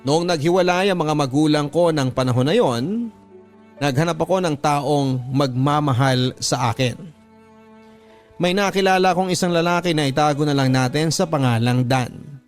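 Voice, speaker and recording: Man, fairly high voice, earnest measured reading, story host, faint music behind. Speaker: radio story host reading listener letters. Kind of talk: scripted narration of letters. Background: faint background music.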